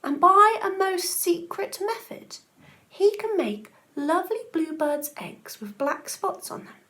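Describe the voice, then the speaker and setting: An excitable old storybook grandfather, acted out by a woman reader, pitched high. The delivery is emphatic and dramatic, savouring every marvel like a born teller of tales. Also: slow, full character voice. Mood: slow, savouring wonder. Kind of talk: children's storybook read-aloud.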